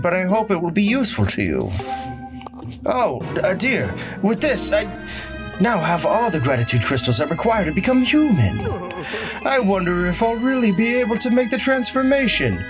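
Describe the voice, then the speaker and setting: A let's-player reading the lines of a grateful demon who longs to become human, in a gushing, theatrical voice over loud game music. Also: mid-range voice, big pitch swings, moderate pace, close to an everyday voice. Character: grateful male bat-winged demon hoping to become human.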